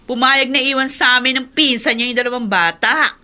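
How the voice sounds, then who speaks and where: A high, bright-voiced nagging wife in a radio soap drama. She is distrustful and resents burdens, and she argues in a forceful, complaining way. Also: fairly even intonation, fairly quick. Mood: annoyed, doubtful. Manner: complaining, argumentative.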